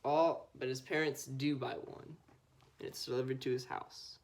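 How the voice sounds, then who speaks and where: A young female Scout reading a children's picture book aloud, with expressive storytelling. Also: very deep voice, normal speed. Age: child or teenager. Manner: expressive storytelling with acted dialogue. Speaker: Scout volunteer reader.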